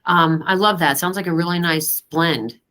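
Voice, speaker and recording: A woman's voice: lowish voice, normal speed, fairly bright tone. A woman interviewing a guest, encouraging him with frequent short reactions. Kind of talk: interview questions.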